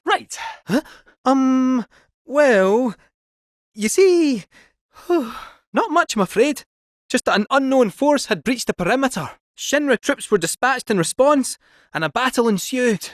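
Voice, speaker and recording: A man's voice: animated, takes it slowly, no room sound, studio quality, full character voice. A man voicing a chatty, scheming male robot cat in a game, with a Scottish accent and a jaunty, theatrical delivery. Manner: jaunty, theatrical, swooping pitch. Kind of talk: video game voice acting.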